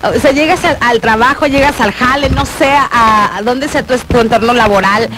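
A woman's voice: a little high, moderate pace, noisy background. A Mexican woman radio host chatting casually and colloquially, jokey as she reads out listeners' messages. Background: loud music under the voice.

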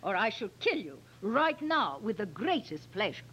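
An older woman playing a stern, commanding Russian woman out for revenge in a radio play, reading deliberately. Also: medium pitch, sing-song, a bit bright, husky, poor audio. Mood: cold, vengeful. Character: stern vengeful Russian woman posing as housekeeper. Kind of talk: radio play read from script. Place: function room, live staged reading. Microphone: stand microphone. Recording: faint noise and hum, old amateur tape.

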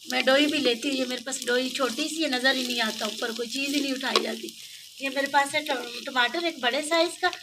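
High-voiced Pakistani woman cooking vlogger. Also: fairly quick. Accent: Pakistani Urdu. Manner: chatty, homely, pleads with viewers to subscribe. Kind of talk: casual cooking narration. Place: outdoors by a clay cooking stove at night. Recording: distant voice with sizzling noise.

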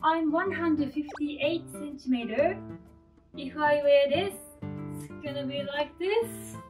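A woman slowly guiding a walking tour through a large indoor craft shop, admiring the goods as she goes. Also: high voice, distant mic. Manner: slow, careful, admiring. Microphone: camera at a distance. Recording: roomy, from off-mic, music behind.

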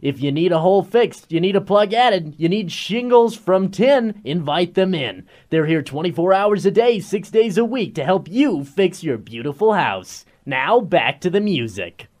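Man playing a high-voiced, breezy hip radio DJ. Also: expressive, normal speed, hardly any pauses, darkish tone. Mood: chummy, hip. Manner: breezy, slangy, showy. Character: upbeat, hip male late-night FM radio DJ. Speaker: radio DJ co-host. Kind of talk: radio drama acting, DJ patter.

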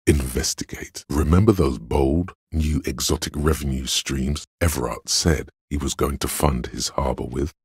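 An extremely low, husky voice of pure logic speaks inside the player's head in a video game. It is gravelly and very dryly recorded, with no room around it. The delivery is deliberate, analytical and condescending. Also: male voice, darkish tone, no echo, full character voice. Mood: measured, analytical. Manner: measured, deliberate, dry, superior. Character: inner voice of cold logic, a man's analytical, condescending mind.

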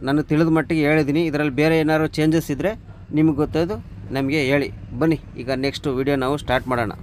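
Man, truck driver vlogger, fairly high voice, flat delivery, faint outdoor traffic. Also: very fast. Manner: chatty, addresses viewers as brother and friends. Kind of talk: casual explainer vlog.

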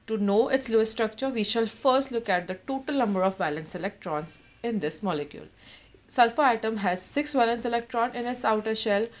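A woman tutor giving a voice-over for a whiteboard chemistry lesson, walking through each step in a steady explanatory way. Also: medium pitch.

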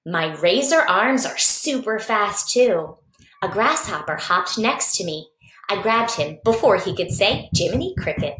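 A woman's voice: medium pitch, sing-song, a little slow, a bit bright. Woman reading as a cocky little praying mantis, echoey. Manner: playful, boastful, short diary-like sentences. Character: small cocky young male praying mantis diarist. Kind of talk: expressive children's storybook reading. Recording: echoey basement room.

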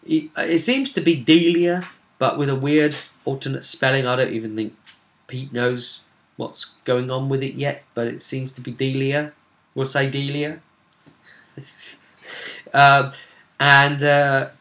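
Bright-voiced young man, halting and rambling. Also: mid-range voice. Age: young adult. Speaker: game master recapping a tabletop role-play session. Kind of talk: casual unscripted vlog recap. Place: small home room, indoors.

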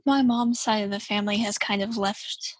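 A woman's voice: medium pitch, steady tone, normal speed, husky, no echo. A woman speaking as an interview guest, chatty with many filler words. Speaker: guest, former church member. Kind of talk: casual interview.

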